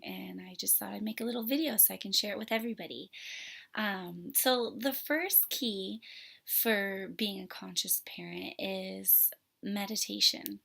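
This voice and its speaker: A woman parenting instructor, hoarse from a sore throat, talking earnestly to camera with many pauses and fillers. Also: mid-range voice, sharp tone. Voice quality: raspy. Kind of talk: casual talk to camera.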